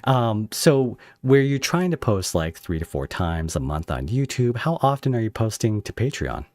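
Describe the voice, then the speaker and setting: A man hosting a casual podcast interview, asking halting, rambling questions that double back on themselves. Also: fairly low pitch, sing-song, a little mellow.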